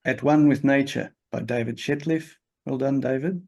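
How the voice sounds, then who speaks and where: A man's voice: medium pitch, normal speed, creaky. A man hosting an exhibition, reading out accepted entries steadily with short asides. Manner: plain, steady, reads titles and adds short asides. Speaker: exhibition host. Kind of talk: announcing results.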